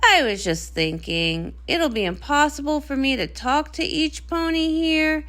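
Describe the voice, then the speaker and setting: A woman voicing a grumpy, anxious young pony princess, a tomboy sister with a flat, sardonic, reluctant way of talking. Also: high voice, unhurried.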